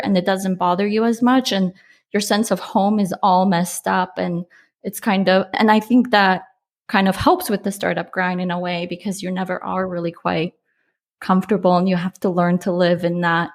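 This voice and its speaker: A woman's voice: medium pitch, steady tone, normal speed. A woman from Poland, a startup founder, talking reflectively in a casual interview with frequent restarts and fillers.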